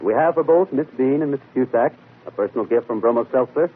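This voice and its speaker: Brief: man playing confident male sleuth, vintage radio mystery, husky and fast, didactic question-and-answer delivery. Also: mid-range voice, lightly acted. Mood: courteous hosting. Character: confident, methodical male amateur detective, explaining his deductions. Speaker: detective and show host. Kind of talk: 1940s radio mystery acting. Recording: muffled, lo-fi vintage radio broadcast.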